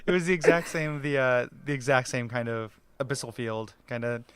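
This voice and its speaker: A man's voice: mid-range voice, fairly even intonation, brisk. A man chatting casually as a podcast guest, laughing often and filling with likes and ums. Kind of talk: casual chat. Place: Portland.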